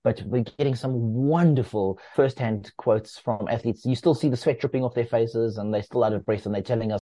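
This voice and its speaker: A man who edits a running magazine, talking as a guest in a relaxed, explanatory way with plenty of fillers. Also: fairly quick, no room sound, poor audio. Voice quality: bass voice. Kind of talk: casual interview talk.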